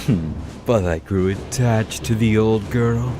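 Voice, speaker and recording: An actor playing a taunting, sadistic monster-bonded hunter in an audio drama, with a theatrical, toying menace, over music. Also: male voice, fairly low pitch, slow, noisy background.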